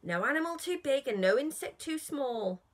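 Kindly old storybook man female reader, organising and reassuring, animated, higher-pitched. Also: normal speed. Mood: reassuring, directing. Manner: reassuring, directing.

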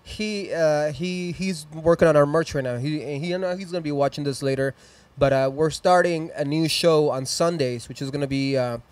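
A man's voice: on the high side, moderate pace, darkish tone, smooth. A man in his thirties with a Mexican accent hosting a live music stream in a small studio, chatting loosely and plugging sponsors into a handheld mic. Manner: rambling, upbeat, plugs sponsors and socials. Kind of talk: casual chat and shout-outs. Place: small decorated studio room, indoors.

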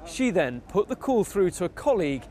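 A man's voice: high-pitched, very animated, brisk, darkish tone, slight background noise. A man delivering a scripted news report as a television reporter.

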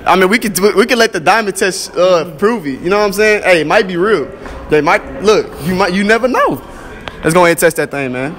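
High, lively sing-song young man host. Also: brisk, a little hiss or hum. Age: late teens. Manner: sing-song, slangy, teasing, repeats himself. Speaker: host interviewing students. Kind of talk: hype street-interview vlog. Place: school hallways and a classroom, indoors. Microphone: holds out a phone as a mic. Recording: hip hop music under the talk. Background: hip hop music.

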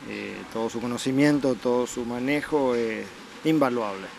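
A man with an Argentine accent giving halting, repetitive answers in a spontaneous interview. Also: medium pitch, gravelly, background noise. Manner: halting, repeats words. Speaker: sheep farm owner interviewee.

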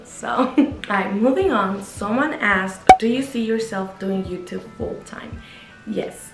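Brief: young woman vlogger, chatty and upbeat, recorded in an echoey bedroom with faint background music. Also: mid-range voice. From Guatemala. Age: 20s. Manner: chatty, upbeat, lots of "like" and "you know". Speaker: YouTuber. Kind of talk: casual Q&A vlog. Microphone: camera at medium distance.

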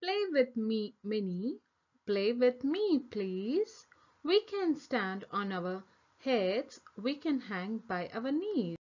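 A woman's voice: a little high, very animated, slow pace, muffled recording, exaggerated voice. A woman voicing an insistent, coaxing young male monkey playmate from a children's storybook. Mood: pleading. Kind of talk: children's storybook read-aloud.

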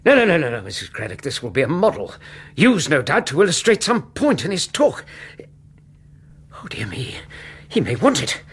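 An actor with a British accent playing a courteous, sceptical, easily flustered Edwardian academic in a radio drama. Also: male voice, a little high, huge pitch swings, moderate pace, long pauses, husky, heavily acted. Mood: agitated. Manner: donnish, courteous, fussing.

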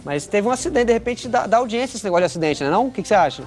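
A man's voice: high voice, lively intonation, rapid-fire. A man hosting a comedy show in a mock-solemn, lecturing manner. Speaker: host. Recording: music underneath.

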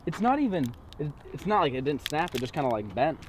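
Young male sidekick, relaxed and laughing, rough outdoor recording. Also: a little high. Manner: laid-back, laughs easily, quick excited bursts. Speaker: co-host friend. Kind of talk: casual chat. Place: outdoors on a rural gravel lot. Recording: loud outdoor noise.

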